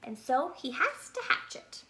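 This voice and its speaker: A woman's voice: high voice, very animated, unhurried, noisy background, exaggerated voice. A woman voicing a friendly, knowing young female rabbit, chatty and sure of herself, in a storybook.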